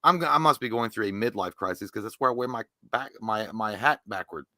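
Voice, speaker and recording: A man's voice: fairly low pitch, fairly quick, pushed and bright, no echo, studio quality. A man in his thirties co-hosting a movie livestream, playful and swearing, slipping into funny voices. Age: 30s. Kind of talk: casual livestream chat.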